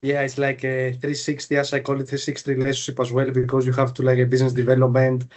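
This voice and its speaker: A man's voice: medium pitch, completely flat delivery, fast, a little mellow. A man hosting an interview, asking long, loose questions full of fillers. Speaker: podcast host, recruiter. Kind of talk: interview questions, casual chat.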